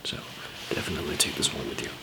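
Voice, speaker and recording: A man softly whispering and murmuring in an ASMR roleplay, explaining things gently and haltingly as a survivor. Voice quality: soft whispering mixed with low murmur, gravelly. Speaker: ASMR roleplayer playing a survivor camp member handing out supplies.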